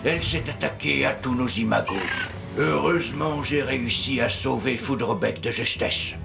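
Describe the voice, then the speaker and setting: A man's voice: medium pitch, moderate pace, gravelly, music in the background. A man playing a grave, learned inventor chief in a children's cartoon, speaking in a solemn, formal, urgent way. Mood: grave warning. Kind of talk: animated children's cartoon acting.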